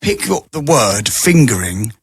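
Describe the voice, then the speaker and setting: A man's voice: medium pitch, sing-song, unhurried, husky, no room sound, clean studio sound. A middle-aged man co-hosting a casual podcast, jokey and teasing, laughing a lot. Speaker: podcast co-host.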